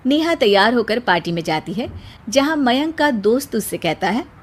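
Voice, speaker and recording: A woman's voice: on the high side, big pitch swings, moderate pace. A woman narrating a scripted cartoon story as a storyteller.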